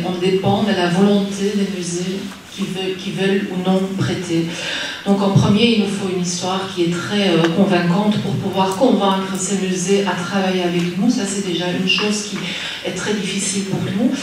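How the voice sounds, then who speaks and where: Hesitant woman speaking from far off in an echoey hall. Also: medium pitch. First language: Dutch or another language, not French. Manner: searching for words, hesitant restarts. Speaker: exhibitions director at a federal arts institution. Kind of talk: panel discussion answer. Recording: distant mic in a reverberant hall with faint noise.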